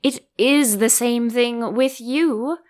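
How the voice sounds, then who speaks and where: A woman's voice: a little high, takes it slowly. A woman voicing an eccentric, grumbling storybook man who is blunt, contrary and fond of riddles. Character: eccentric, grumbling, rude male tea-party hatter.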